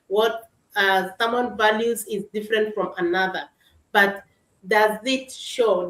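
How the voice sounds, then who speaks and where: Woman co-host in an echoey room. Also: mid-range voice. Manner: warm welcoming, repeats thanks, hesitant restarts. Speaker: co-host and business mentor. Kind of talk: live online talk show.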